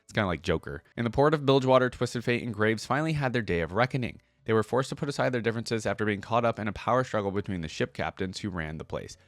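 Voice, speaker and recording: A man's voice: fairly low pitch, lively intonation, fast, hardly any pauses, dry recording. A man hosting a casual reaction video, moving between reading aloud and informal conversational commentary. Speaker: reaction channel host.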